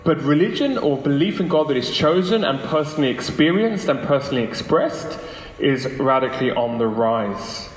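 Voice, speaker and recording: A man's voice: fairly high pitch, animated, normal speed. Man giving a talk in an echoey hall. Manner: persuasive, rhetorical questions, emphatic phrasing. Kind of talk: public lecture to a live audience. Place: large echoey room, lunchtime public talk. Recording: echoey hall with long reverb.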